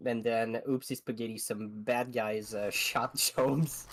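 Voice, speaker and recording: A man's voice: medium pitch, fairly even intonation, measured pace, slight background noise. A man hosting a casual gaming stream with friends, talking in a rambling, sweary way. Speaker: host of a group gameplay stream.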